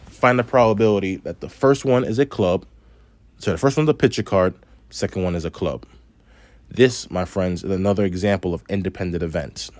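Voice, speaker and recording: A man's voice: deep voice, brisk, darkish tone, dry recording. A man with a slight lisp teaching a math lesson over a tablet screen, explaining patiently step by step. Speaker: math instructor.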